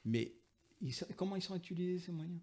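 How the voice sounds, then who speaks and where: A man's voice: high voice, animated, fairly quick, darkish tone. A man speaking as an expert interview guest, explaining and arguing his points emphatically. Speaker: interview guest, linguist and author. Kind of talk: explanatory interview talk, argumentative.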